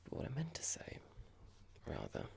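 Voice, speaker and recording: A performer voicing a horrible, condescending office boss in a whispered ASMR roleplay, mock-polite and slow, asking pointed, patronising questions. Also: close to an everyday voice. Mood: mock-sympathetic.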